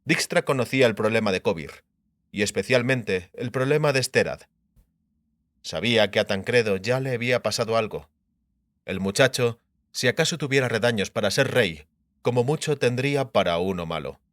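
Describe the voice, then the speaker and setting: Male narrator for literary fiction, medium pitch, dry studio-close sound, scripted delivery. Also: expressive, normal speed, a bit bright, no room sound. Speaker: audiobook narrator.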